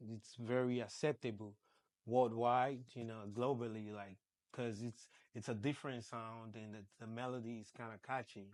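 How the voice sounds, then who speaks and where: A man's voice: mid-range voice, steady tone, moderate pace, a little mellow. A young Nigerian man with a Nigerian accent, a musician chatting as an interview guest and leaning on lots of fillers. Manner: rambling, many fillers like "you know". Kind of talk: casual interview chat.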